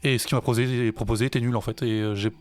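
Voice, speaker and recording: A man's voice: mid-range voice, fairly even intonation, very fast. A French man, a music teacher and songwriter, chatting in a casual podcast and hesitating with many fillers and restarts.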